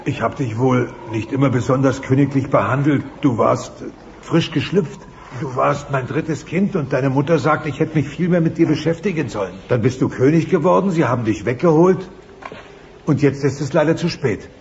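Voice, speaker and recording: An actor playing a soft-hearted, sulky dinosaur father, plaintive and melodramatic, in a children's audio play. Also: medium pitch, normal speed, close to an everyday voice. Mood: remorseful. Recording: muffled, noisy cassette-style audio.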